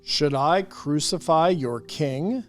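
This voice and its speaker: A woman voicing a stern male Roman governor, speaking slowly and gravely in a scripture reading. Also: low voice, soft background music, voice pushed down.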